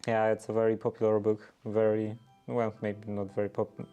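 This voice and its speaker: A man's voice: on the low side, monotone, normal speed, warm tone, clear, resonant tone, dull audio, faint music behind. A native Polish-speaking man with a Polish accent, chatting casually on a livestream and thinking aloud in English with many fillers and restarts. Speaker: livestream host. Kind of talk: casual livestream chat, answering viewers.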